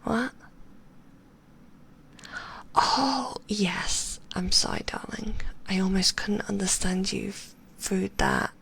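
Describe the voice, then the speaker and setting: Whispered ASMR roleplay, woman playing a doting manipulative magician, slow, gravelly fry. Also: mid-range voice. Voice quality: soft whispering. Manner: cooing, doting and softly condescending. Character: possessive, sweetly manipulative female magician, adult.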